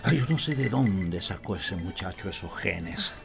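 Pompous, very animated Costa Rican father. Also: sits low, measured pace, creaky, over music, exaggerated voice. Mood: disdainful, sociable. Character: proud, overbearing middle-aged father and genial host. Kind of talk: radio soap opera acting.

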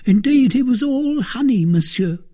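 A man voicing a frightened, excitable young male servant who talks eagerly and breathlessly, with a husky voice. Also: very high voice, normal speed, voice pushed up. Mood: anxious eager.

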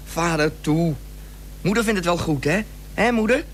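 A man's voice: high voice, moderate pace, close to an everyday voice. A man playing a headstrong, earnest boy who pleads to go to sea, in a Dutch radio play. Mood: pleading, eager. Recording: old radio play with faint hum.

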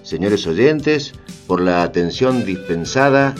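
Slow, declamatory male radio announcer. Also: lowish voice, animated, faint music behind. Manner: formal, declamatory. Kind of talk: scripted radio drama announcement, closing credits.

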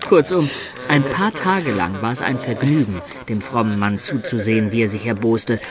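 A man's voice: medium pitch, normal speed, a crowd in the background, lightly acted. An actor playing a wry medieval adventurer who recounts his youthful exploits in a radio drama. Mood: amused recounting. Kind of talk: narrating storyteller in German radio drama.

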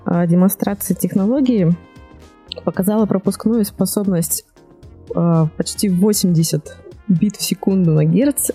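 A woman with a fairly low, soft and mellow voice hosts a technology podcast. She presents news in an explanatory manner, pausing now and then to find her phrasing. Faint music plays behind her. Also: slightly rough. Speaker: podcast host. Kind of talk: presenting tech news from notes.

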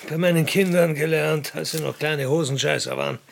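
A man's voice: on the high side, steady tone, a little fast, vocal fry. An actor playing a dry, long-suffering man in a radio drama, speaking politely with understated hesitation. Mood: weary, patient. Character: dry, long-suffering man reluctantly tending an old puppeteer.

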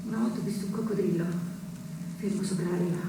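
A woman's voice: lowish voice, steady tone, fast, lightly acted. A woman playing a wounded, defiant exile from a small town in an intense theatrical stage monologue. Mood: haunted. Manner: intense, storytelling, emphatic. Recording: very echoey, distant, noisy stage recording.

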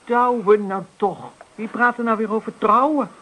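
A woman's voice: fairly high pitch, fairly even intonation, a little slow, fairly bright tone. An actress playing a middle-aged farmer's wife in a Dutch radio play, motherly, chiding and keeping the peace. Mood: placating. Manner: chiding, placating. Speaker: actor. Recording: faintly noisy radio drama recording.

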